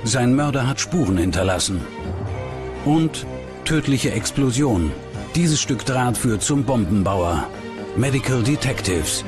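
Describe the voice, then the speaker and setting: A man's voice: mid-range voice, animated, unhurried, pauses often, music in the background. A man announcing in a dramatic, scripted TV promo voice-over style. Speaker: trailer announcer.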